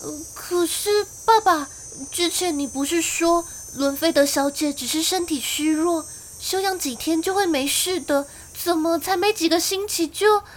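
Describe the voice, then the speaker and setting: A woman playing a young lord's daughter in a radio drama, speaking with gentle, clearly articulated storytelling. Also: very high-pitched, measured pace, hardly any pauses, slight background noise. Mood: eager, concerned. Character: young daughter of a castle lord, narrating her tale. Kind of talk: Mandarin radio drama acting.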